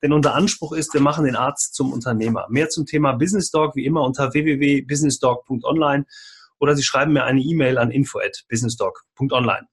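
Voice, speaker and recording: A man hosting an interview podcast, moving between scripted presentation and questions, with a husky voice. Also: mid-range voice, fast talker.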